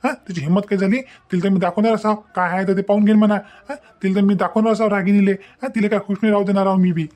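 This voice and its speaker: A jilted young groom, acted by a man with a markedly high voice and a Varhadi Marathi accent. He is proud, wounded and bent on revenge, scolding and threatening in repeated bursts, in cartoon family-drama style. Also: steady tone, fast talker, hardly any pauses, darkish tone, natural voice. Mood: furious, threatening. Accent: Varhadi Marathi, Vidarbha.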